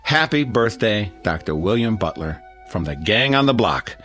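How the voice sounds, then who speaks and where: An actor playing a genial, teasing middle-aged suburban neighbor who gives a wry, halting toast in a radio drama. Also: male voice, fairly low pitch, lively intonation, a bit bright, soft background music. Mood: warm, sentimental. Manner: hesitant, wry, informal speechmaking.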